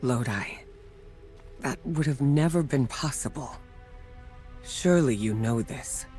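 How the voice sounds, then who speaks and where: A seasoned mentor from a video game, played by a man, with a medium-pitched voice. He speaks gravely and calmly, in measured, unhurried phrases. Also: faint music behind, lightly acted. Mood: grave, consoling. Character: calm, seasoned mentor consoling a newcomer. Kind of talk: video game cutscene acting.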